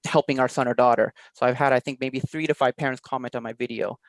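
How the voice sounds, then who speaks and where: A man's voice: mid-range voice, fairly even intonation, fast talker, dry recording. A man chatting casually as an interview guest, explaining his work as an online teacher in loose, half-finished thoughts.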